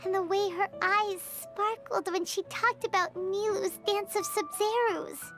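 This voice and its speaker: A woman voicing a tiny childlike fairy companion in a video game, with a squeaky, expressive and earnest voice. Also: unhurried, heavily acted. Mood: wistful. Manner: refers to self in third person, expressive. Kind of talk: video game cartoon voice acting. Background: background music.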